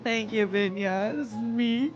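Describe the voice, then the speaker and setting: Hoarse, high-voiced woman streamer chatting casually. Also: measured pace, muffled recording. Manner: giggly, playful. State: hoarse, losing her voice, cracking. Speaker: livestream host, VTuber. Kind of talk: casual livestream chat, reading and answering chat messages. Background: background music.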